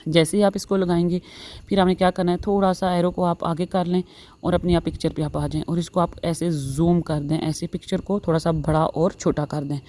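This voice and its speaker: A woman's voice: lowish voice, level tone, brisk, dark tone. A woman narrating a step-by-step tutorial, explaining instructionally and repeating herself. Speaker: tutorial host. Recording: faint background noise.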